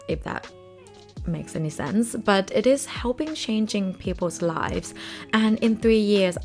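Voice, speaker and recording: A young woman whose first language is Thai, talking earnestly and chattily to camera about her plans, with small slips. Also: fairly low pitch. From Thailand. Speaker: finance vlogger. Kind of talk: casual talk to camera.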